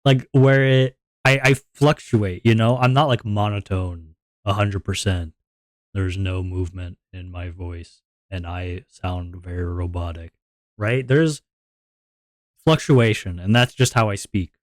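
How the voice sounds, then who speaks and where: Deep voiced guy yapping to chat, dry close mic, kinda rambly. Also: measured pace, no echo. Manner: rambling, self-reflective, repeats words. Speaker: streamer. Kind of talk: casual chat to stream viewers.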